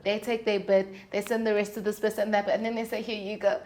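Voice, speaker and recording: A woman's voice: medium pitch, little pitch movement, quick pace, darkish tone. A woman speaking as an interview guest, giving candid, articulate and emphatic advice in a conversational way. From South Africa. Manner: emphatic, articulate, candid, talks in rhetorical questions and examples. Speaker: guest, independent singer-songwriter.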